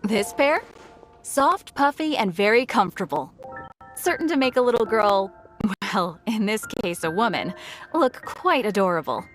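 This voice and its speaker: A woman's voice: medium pitch, animated, measured pace, soft background music, close to an everyday voice. A woman voicing a friendly, persuasive souvenir shopkeeper with chirpy, coaxing sales patter in an anime game.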